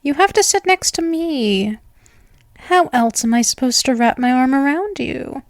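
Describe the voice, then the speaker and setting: Woman acting a slow, doting vampire girl, high-voiced. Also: lively intonation, no room sound, studio quality. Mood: teasing, possessive.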